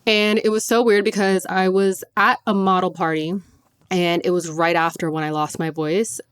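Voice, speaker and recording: A woman's voice: lowish voice, level tone, normal speed, clear, resonant tone, no echo, clean studio sound. A young woman hosting her own podcast, chatting casually and gushing, with lots of "like". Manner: chatty and gushing, heavy use of "like". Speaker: podcast host. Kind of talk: casual solo podcast chat.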